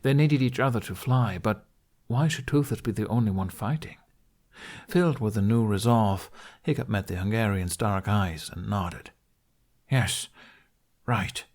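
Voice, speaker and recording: Man, fairly low voice, audiobook narrator, scripted fiction, storytelling delivery. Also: normal speed, darkish tone.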